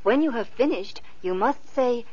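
A woman playing a gentle, kindly fairy godmother in an old radio play, soothing and tender, on a dull lo-fi recording. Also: on the high side, lively intonation, normal speed, breathy edge. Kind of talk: 1950s radio acting.

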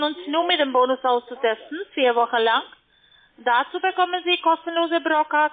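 High-pitched female telemarketer on the phone. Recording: tinny narrow phone line.

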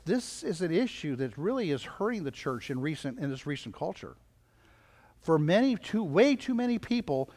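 A man's voice: sits high, fairly bright tone. An older man preaching a sermon as a pastor, emphatic, repeating phrases and using rhetorical questions, recorded in a church hall. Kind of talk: sermon to a congregation. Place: church hall, indoors at a lectern. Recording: reverberant hall, picked up from a distance.

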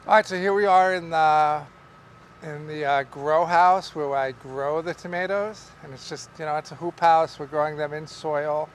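A middle-aged man, a market farmer, explaining how he grows tomatoes in a relaxed, rambling way with many fillers, recorded in a large greenhouse by a camera some distance away. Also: on the high side, normal speed, slight background noise. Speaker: market farmer teaching growing. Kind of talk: casual on-camera farming lesson. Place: large greenhouse among tall tomato plants. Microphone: camera at a distance, wide shot.

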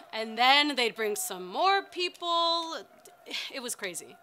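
A woman's voice: high voice, sing-song, takes it slowly, sharp tone, slight background noise, full character voice. An actress playing a fervent woman strike organizer, speaking emphatically and earnestly in a dramatized oral history.

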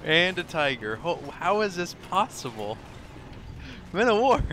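A man's voice: high-pitched, big pitch swings, a little slow, pushed and bright. A man chatting casually and swearing as he reacts to a video game. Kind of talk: casual gaming banter. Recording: faint game noise behind.

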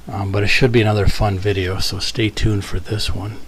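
A man's voice: fairly low pitch, level tone, a little fast. An American man giving casual, folksy vlog commentary in a conversational way, recorded outdoors on a farm. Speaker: farm vlogger. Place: outdoors on a farm, partly in a tractor. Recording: clear outdoor vehicle and ambient noise.

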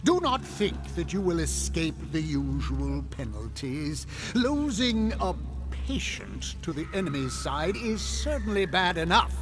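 An actor playing a commanding, scornful senior demon in a radio drama, instructing with imperious, deliberate delivery. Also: male voice, high-pitched, exaggerated voice. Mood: stern, displeased. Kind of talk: theatrical radio drama acting. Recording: music under the voice.

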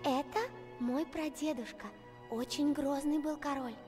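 An actress playing a plucky, affectionate young goose princess in an animated film, girlish and coaxing. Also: high voice, a little slow, big-room sound, music in the background. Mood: fond.

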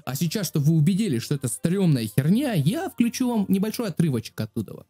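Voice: medium pitch, sing-song, quick pace, raised voice. A video creator narrating a casual joking tutorial in a crude, slangy, self-deprecating way. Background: music.

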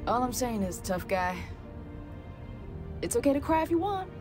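A woman's voice: fairly high pitch, very animated, normal speed, music in the background. A woman playing a cocky, teasing young gunsmith in a video game cutscene, sassy and drawling. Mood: blunt, consoling. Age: young adult.

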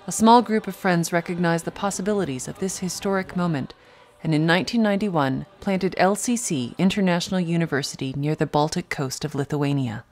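A woman reading a scripted documentary narration in a measured, earnest way. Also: lowish voice, darkish tone.

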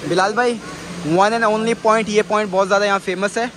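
A man vlogging casually as he shows a friend around a busy mall, excitedly calling out the sights. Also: sits high, moderate pace. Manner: excited, loud, calls out sights. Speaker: vlogger, tour guide to a friend. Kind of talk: casual chat while walking. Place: busy mall and streets outdoors. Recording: loud crowd and street noise.

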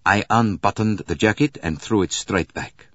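A man's voice: fairly low pitch, moderate pace, no echo, dull audio, voice pushed up, close to an everyday voice. A man voicing an earnest, courteous doctor in an audiobook, eager and precise in a formal way. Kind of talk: audiobook character voice.

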